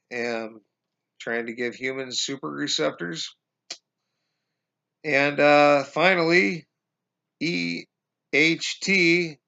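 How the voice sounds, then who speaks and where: A man in his forties talking to viewers from home on a webcam, halting with fillers, his voice going hoarse. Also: fairly high pitch, sharp tone. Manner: halting, many fillers and restarts, addresses viewers as guys. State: losing his voice, coughing. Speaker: conspiracy vlogger. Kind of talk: casual explanatory monologue. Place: small indoor room at home. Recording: dull lo-fi webcam audio.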